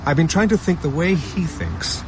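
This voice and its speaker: A man's voice: fairly high pitch, very animated, moderate pace. An actor playing a hunted ex-army man in a radio drama, terse and guarded, with street and vehicle noise around him. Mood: calculating. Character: hunted male ex-army fugitive, guarded, resourceful.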